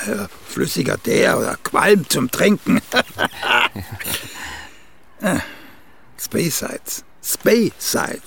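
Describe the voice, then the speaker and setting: An actor playing a vain, grumpy older playwright in a radio play, gravelly and pompous, issuing demands and pronouncements. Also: male voice, fairly high pitch, full character voice. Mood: pompous lecturing. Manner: peremptory, muttering, pompous pronouncements. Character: vain, grumpy ageing celebrated male playwright, demanding and grandiose. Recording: faint background noise.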